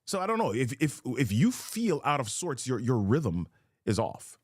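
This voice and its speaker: Man, motivational host, emphatic and repetitive, middle pitch, dry close sound. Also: sing-song, moderate pace, dry recording. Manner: emphatic, repeats key phrases as questions. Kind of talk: motivational live-stream talk.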